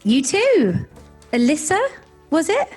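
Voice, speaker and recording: An actress playing a wry, ambitious young advertising professional with a sarcastic edge in an audio drama. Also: female voice, sits high, huge pitch swings, slow pace, a little mellow, soft background music, heavily acted. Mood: wry, brisk. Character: sardonic, ambitious young career woman in advertising.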